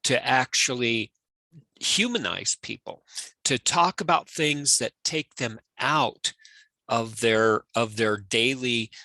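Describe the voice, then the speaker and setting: A man co-hosting a podcast, reflecting on ideas and pausing to find his words. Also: medium pitch, sharp tone, no echo, low-quality recording. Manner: reflective, searches for words with fillers. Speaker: podcast co-host. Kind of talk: casual podcast chat.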